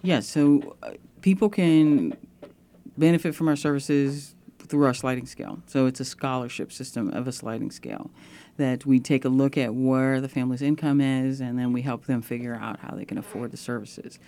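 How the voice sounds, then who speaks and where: A woman guest on a radio interview, co-founder of a nonprofit, explaining its programs in a measured way. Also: a bass voice, fairly even intonation, warm tone, slight background noise.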